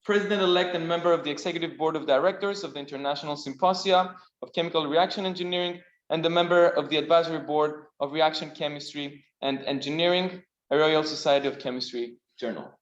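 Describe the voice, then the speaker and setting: A man with a Greek accent and a fairly high voice introduces a speaker at a seminar. He halts often and fills pauses with ums. The room is echoey and the sound is dull. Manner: halting, many fillers. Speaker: seminar host. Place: lecture room.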